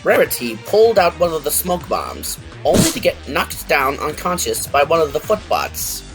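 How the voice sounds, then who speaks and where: A woman's voice: low-pitched, big pitch swings, moderate pace, rough recording. A woman narrating a scripted fan fiction story as its storyteller. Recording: faint music behind the voice.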